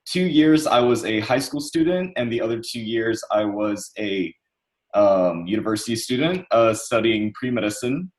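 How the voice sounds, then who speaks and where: A man in his twenties, born in the United States, talking on a panel over a video call in a relaxed, chatty way. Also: lowish voice, normal speed. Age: 20s. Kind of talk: casual panel talk.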